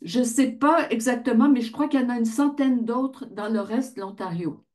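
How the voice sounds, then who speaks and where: A woman's voice: fairly high pitch, normal speed, a little mellow. A woman giving an informal explanatory presentation, conversational, asking questions and answering them herself. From Ottawa region, Canada. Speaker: founder of a volunteer reading association, presenter.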